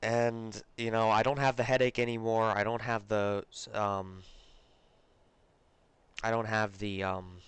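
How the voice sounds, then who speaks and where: A man's voice: on the low side, level tone, a little slow, pauses often, fairly bright tone, smooth, dry recording. A man hosting a live stream, congested from illness, chatting casually with lots of fillers and restarts. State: congested, recovering from a cold. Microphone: close desk mic.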